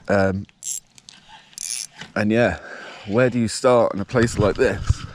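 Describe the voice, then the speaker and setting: A man with a blocked nose and a slightly nasal voice, casually talking through his fishing outdoors by a dam in a relaxed, explanatory way. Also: on the low side, takes it slowly. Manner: relaxed, explanatory, thinking aloud. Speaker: fishing vlogger. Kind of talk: casual outdoor vlog narration.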